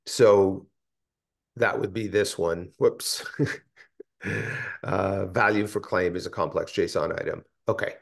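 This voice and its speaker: Guy with lowish voice presenting tech stuff on a video call, kinda halting, thinking out loud. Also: steady tone, vocal fry. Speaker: meeting presenter. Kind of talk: technical explanation on a group call. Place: indoor room, video call.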